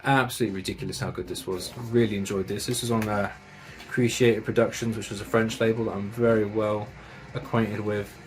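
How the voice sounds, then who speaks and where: A man's voice: lowish voice, flat delivery, brisk, few pauses, faint music behind. A man casually reviewing metal records and tapes, enthusiastic and chatty, with slang and swearing.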